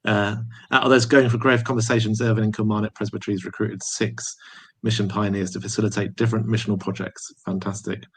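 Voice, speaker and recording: Casting: male host, slightly low voice, encouraging. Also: level tone, fast talker, fairly bright tone, slightly rough. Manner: encouraging, many false starts. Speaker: interviewer. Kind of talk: casual interview.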